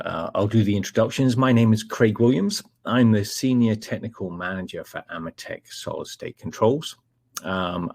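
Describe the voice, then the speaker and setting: A middle-aged man with a Scottish accent hosts a live technical webinar, his voice low and a little husky. He talks in a relaxed, chatty way, filling pauses with ums and uhs. The sound is dry and close, with no room echo. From Scotland. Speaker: webinar presenter, technical manager.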